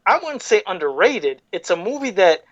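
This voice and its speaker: A man's voice: high voice, big pitch swings, moderate pace, no room sound, highs cut off. A man hosting a podcast in easygoing, chatty casual talk. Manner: easygoing, chatty, laughs along. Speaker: podcast host. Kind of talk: casual chat.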